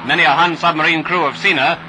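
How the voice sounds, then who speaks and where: A man's voice: on the high side, steady tone, quick pace, loud music behind the voice, rough recording. A British man narrating a scripted 1940s wartime training film in a jaunty, wry, clipped manner, on a vintage soundtrack.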